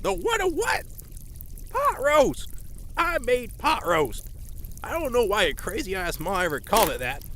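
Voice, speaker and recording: A man's voice: very high-pitched, huge pitch swings, measured pace, fairly bright tone, heavily acted. A man playing a cantankerous, folksy old grandpa in an audio drama, blustering with old-timey exclamations. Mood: outraged.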